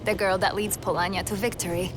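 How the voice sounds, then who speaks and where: A woman's voice: medium pitch, level tone, fast talker, background noise, lightly acted. An actress playing an earnest, determined young woman fighting in a resistance, in a video game cutscene, plain-spoken. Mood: doubtful, somber. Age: young adult. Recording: music behind the voice.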